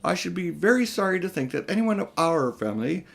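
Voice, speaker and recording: A man's voice: big pitch swings, brisk, vocal fry, fairly bright tone, heavily acted. A man voicing a haughty, manipulative older uncle who is a magician in a children's audiobook, speaking in a condescending, smug way. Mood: pompous. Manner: high and mighty, condescending, coolly smug. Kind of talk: children's audiobook character reading.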